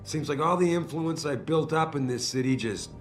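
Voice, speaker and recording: An actor playing a commanding middle-aged corporate boss who gives blunt, clipped orders in a game cutscene. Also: male voice, a little high, moderate pace, faint music behind, natural voice. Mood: stern. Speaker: video game cutscene character. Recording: game cutscene with loud score.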